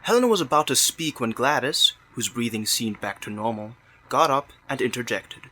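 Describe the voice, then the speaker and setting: Bright, forceful male story narrator. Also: mid-range voice, lively intonation, moderate pace, slight background noise, poor audio. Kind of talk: scripted radio drama narration.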